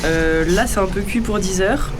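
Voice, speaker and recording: An actress playing a cheeky, talkative local hitchhiker giving directions in an audio drama, familiar and teasing in manner. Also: female voice, mid-range voice, moderate pace, close to an everyday voice. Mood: teasing, chatty. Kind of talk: naturalistic audio drama acting. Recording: inside a noisy moving car.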